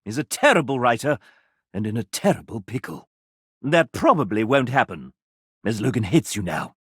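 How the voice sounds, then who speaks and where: A man voicing a sassy, arch gentleman who narrates a dating video game in a theatrical, mock-genteel and sardonic manner. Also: medium pitch, big pitch swings, moderate pace, breathy edge, no room sound, clean studio sound. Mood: mock-regretful, cutting.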